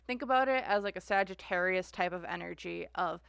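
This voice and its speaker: A woman's voice: lowish voice, a little fast, gravelly, fairly bright tone, clear, resonant tone, no echo, studio quality. A woman giving a casual tarot reading, rambling and filling her sentences with "like" and "uh.". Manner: rambling, heavy use of "like" and "uh". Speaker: tarot reader.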